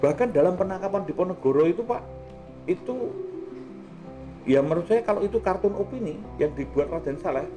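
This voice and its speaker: A man's voice: high voice, lively intonation, fairly quick, pauses often, dull audio, over music. A man with a Javanese accent hosting a casual, rambling art talk, chatty and colloquial, often tagging his remarks with small questions. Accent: Javanese-accented Indonesian. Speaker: host of an art talk show.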